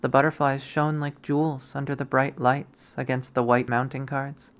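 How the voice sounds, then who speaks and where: A man's voice: mid-range voice, little pitch movement, normal speed. A man reading a visual novel aloud as dramatic first-person story narration.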